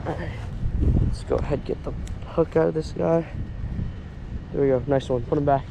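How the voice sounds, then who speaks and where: A man's voice: a little high, fairly even intonation, normal speed, vocal fry, lo-fi sound, heavy background noise. A young American man talking casually and slangily to viewers in an outdoor fishing vlog. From northern United States. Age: young adult. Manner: informal, slangy, addresses viewers. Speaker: fishing vlogger, host. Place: outdoors, lawns and lakeside. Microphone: handheld or body-worn action camera.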